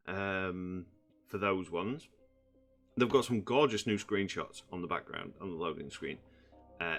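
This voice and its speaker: A man, croaky from just waking up, rambling through casual gameplay commentary with many fillers. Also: low-pitched, a bit bright. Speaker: gaming channel host.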